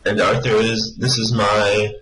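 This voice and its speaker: A man's voice: fairly low pitch, flat delivery, a little slow, a bit bright, rough recording. A man playing a friendly, easily astonished alien traveller in a radio play, with noise behind him. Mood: cheerful. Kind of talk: amateur radio play acting.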